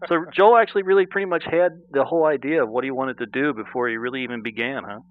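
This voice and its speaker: A man's voice: medium pitch, fast. Male interviewer, casual and agreeable, narrow phone-line audio. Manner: chatty, agreeable. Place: phone call.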